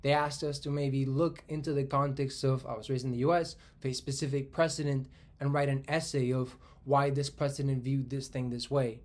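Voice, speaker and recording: Twenty-something guy from spain rambling thru an idea solo, lots of restarts and "right?", mid-pitch voice. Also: flat delivery, moderate pace, hardly any pauses. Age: 20s. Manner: thinking aloud, frequent restarts and "right?" tags. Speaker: tech commentator. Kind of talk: casual solo monologue.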